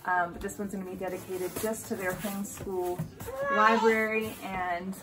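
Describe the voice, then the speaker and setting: A woman's voice: mid-range voice, level tone, moderate pace. A woman in her thirties with an American accent, chatting casually as a homeschooling mother hosting a home vlog. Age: 30s. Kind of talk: casual unboxing vlog chat. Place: small furnished room at home, indoors.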